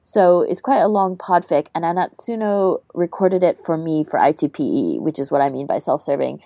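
Mellow, lowish woman, close dry sound. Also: lively intonation, moderate pace, creaky, no room sound. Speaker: podcast co-host. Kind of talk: casual chat.

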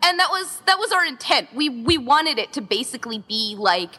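Bright-voiced chatty woman in echoey space. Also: high-pitched, sing-song, normal speed. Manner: chatty, informal, heavy use of "like". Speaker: interview guest, event co-founder. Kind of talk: casual podcast interview.

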